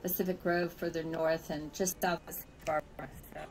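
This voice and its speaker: A woman's voice: low voice, little pitch movement, brisk. A woman from California with an American accent, chatting informally and chuckling as she gives a tour of a world she built. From California, USA. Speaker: virtual world builder giving a tour. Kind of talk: casual tour chat.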